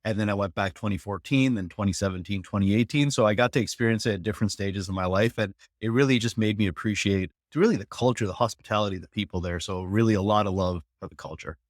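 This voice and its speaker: A man's voice: lowish voice, quick pace, few pauses, no echo, clean studio sound. A man in his thirties from New York, telling personal stories as an interview guest in an earnest, reflective way. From New York, United States. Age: 30s. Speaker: interview guest, technology executive.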